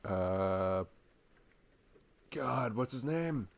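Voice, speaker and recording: Male, lowish gravelly voice, rambling intimate ASMR boyfriend chat, slangy and jokey. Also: animated, very slow. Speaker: ASMR boyfriend roleplay performer.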